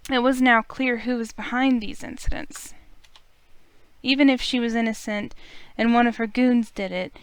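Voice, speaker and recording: A woman hosting a game playthrough, reading the story aloud as expressive narration. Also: on the high side, smooth, no echo.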